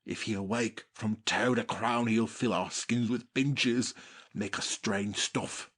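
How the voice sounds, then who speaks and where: A man playing a brutish monster slave, fawning yet murderous, with a rough, urgent voice in a dramatic Shakespearean audiobook. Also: lowish voice, steady tone, takes it slowly, a bit bright. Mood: exasperated. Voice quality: husky, rough. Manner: urgent, conspiratorial.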